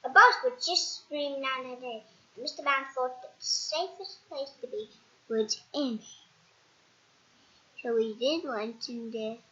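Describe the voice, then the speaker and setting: A child reading a storybook aloud in an animated way, haltingly, with restarts and dramatic emphasis. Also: sits high, lo-fi sound. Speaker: storybook reader.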